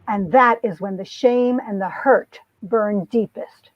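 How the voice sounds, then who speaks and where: A woman's voice: fairly high pitch, slow, no room sound. A woman playing an earnest young small-town heroine telling her story in a romance comic reading, on dull lo-fi audio. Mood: bitter, brooding.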